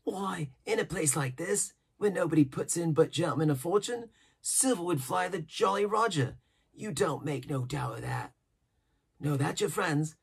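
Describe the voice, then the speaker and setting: Storybook castaway pirate, played by a male reader: old, sly, excitable, high husky voice, rambling and repeating himself in rustic sailor talk. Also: normal speed, full character voice. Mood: excited. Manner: emphatic, repetitive, rustic sailor's speech.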